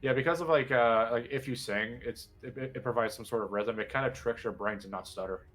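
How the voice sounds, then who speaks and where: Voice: mid-range voice, a little fast, fairly bright tone, soft background music. A player in a tabletop game chatting playfully, putting on a joke Russian accent with mock-solemn lines.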